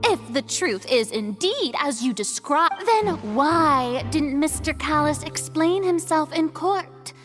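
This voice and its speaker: A girlish young woman asking questions in a video game trial, careful and a little unsure. Her voice is high and very animated, with music behind it. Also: slow, hardly any pauses. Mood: careful, inquisitive. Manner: careful, formal, slightly unsure.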